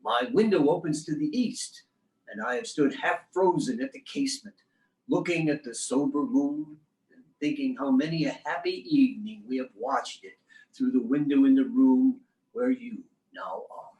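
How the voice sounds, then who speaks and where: In an echoing room, a man performs a romantic, poetic young 19th-century husband in a dramatic letter reading. His slightly high voice rises and falls expressively through florid, lyrical phrases. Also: big pitch swings, normal speed, a little mellow. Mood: tender, dreamy. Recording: roomy, echoing sound.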